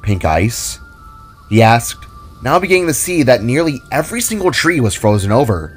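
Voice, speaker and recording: Male narrator, scripted story reading, dramatic, medium pitch, animated intonation, light music underneath. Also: fairly quick, husky.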